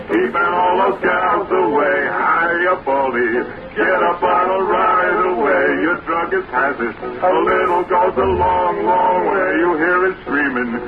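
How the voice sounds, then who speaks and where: A man's voice: high-pitched, slow, hardly any pauses. A man singing a vintage radio commercial jingle in an old-fashioned advertising style. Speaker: jingle singer. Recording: dull lo-fi vintage radio recording. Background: loud music.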